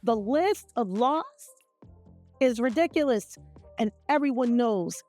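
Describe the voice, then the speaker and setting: A woman giving opinion commentary with emphatic, accusatory, deliberate phrasing. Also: a little high, expressive, mellow, soft background music.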